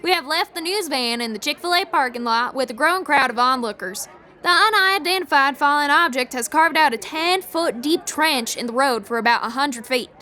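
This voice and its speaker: A woman's voice: sits high, expressive, moderate pace, bright, forceful tone. A woman playing a flustered traffic reporter giving a live radio news report on an alien invasion in an audio drama. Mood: composed, professional.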